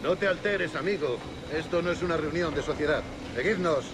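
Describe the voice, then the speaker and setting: An actor playing a brusque, commanding Spanish soldier, curt and clipped, in a dramatic game scene. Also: male voice, on the high side, fast talker, noisy background, lightly acted. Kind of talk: dramatic video game acting.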